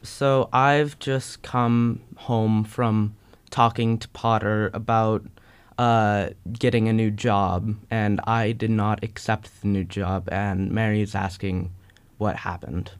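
A man's voice: lowish voice, little pitch movement, slow, smooth. A young man, an actor, chatting casually in an interview.

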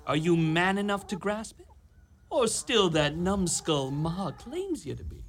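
Woman playing a plucky, animated small boy. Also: lowish voice, a little slow, slight background noise. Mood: resolute. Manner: earnest, theatrical. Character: small boy, eager, plucky, hungry adventurer. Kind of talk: radio play acting.

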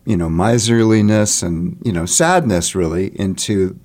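Low, unhurried man in interview. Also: a little mellow. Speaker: interview guest, theatre artist. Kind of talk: conversational interview answers.

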